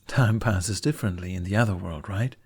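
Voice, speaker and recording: A man's voice: low-pitched, fairly quick, slightly rough. A man voicing a kind, protective and playful young male adventurer in an audiobook. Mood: concerned. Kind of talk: fan fiction audiobook character voice.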